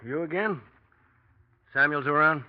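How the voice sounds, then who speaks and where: A man's voice: fairly high pitch, unhurried, close to an everyday voice. A man playing a terse, probing American police inspector in 1940s radio acting. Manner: clipped, casual probing. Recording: muffled old radio recording.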